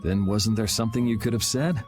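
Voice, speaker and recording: A man's voice: on the low side, brisk, a little mellow, soft background music, lightly acted. A man playing a cool young swordsman in video game dialogue, dry, nonchalant and teasing. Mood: dry, probing.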